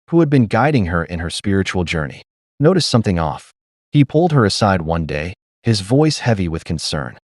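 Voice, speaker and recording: A man reads a dramatic tale in a deep voice. His delivery is solemn and measured, yet the melody moves up and down a great deal. The sound is close and dry, with no room around it. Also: a little mellow, no room sound. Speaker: narrator. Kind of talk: scripted dramatic storytelling narration.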